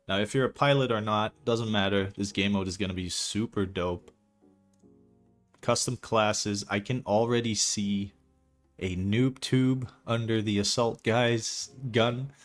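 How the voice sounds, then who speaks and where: A young man from Belgium whose first language is not English, chatting casually and rambling, swearing now and then, as he walks viewers through a map he is building. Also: lowish voice. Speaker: streamer building a game map. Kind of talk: casual livestream commentary.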